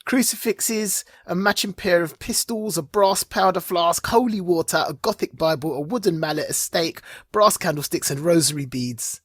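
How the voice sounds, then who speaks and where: Casual male co-host, slightly husky, dry. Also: high-pitched, normal speed, hardly any pauses, a bit bright, dry recording. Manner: informal, conversational. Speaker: podcast co-host. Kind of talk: casual chat and commentary.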